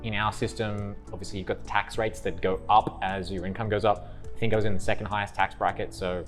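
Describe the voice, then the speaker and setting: A young man with an Australian accent and a low voice, chatting as an interview guest. He rambles easily, restarting his sentences, while soft music plays in the background. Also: quick pace. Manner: relaxed, rambling with frequent restarts and laughs. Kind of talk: casual interview chat.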